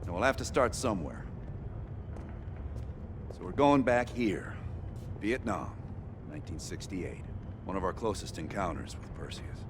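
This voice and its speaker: A man's voice: sits low, very animated, measured pace, pauses often, noisy background. A man playing a terse, authoritative intelligence team leader briefing his agents in a video game cutscene. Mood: businesslike. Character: commanding, cool male intelligence team leader, a spy.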